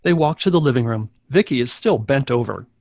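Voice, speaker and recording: A man's voice: medium pitch, expressive, brisk, a little mellow, no echo. A man narrates scripted stage directions at a table read. His voice sits in an ordinary register and is recorded dry, with little room sound.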